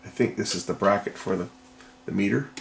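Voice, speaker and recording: A man giving casual hands-on repair commentary, thinking aloud in a tentative, unhurried way. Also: lowish voice, creaky. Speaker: repair vlogger. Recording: noticeable background noise.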